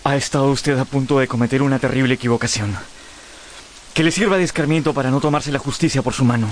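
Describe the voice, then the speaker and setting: An actor playing a youthful-faced, haunted gentleman in a radio drama, speaking in polished, theatrical, formal phrases. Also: medium pitch, fast, slight background noise, lightly acted. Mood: indignant. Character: Dorian Gray, youthful-faced, haunted, well-bred gentleman. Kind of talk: Spanish-language radio drama acting.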